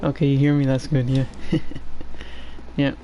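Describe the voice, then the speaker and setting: A shy male streamer with a low voice, talking in an even, flat tone. He hesitates and fills gaps with "you know" and "like". A home desk microphone picks him up over a faint hiss. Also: a little slow, muffled recording. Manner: soft-spoken, shy and hesitant, many fillers. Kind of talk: casual gameplay stream chat.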